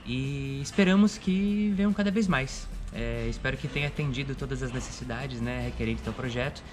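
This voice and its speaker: A man's voice: mid-range voice, normal speed. A Brazilian man speaking as a producer in an interview testimonial, giving a spoken account of a project. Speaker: producer of an audio drama. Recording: loud music behind the voice.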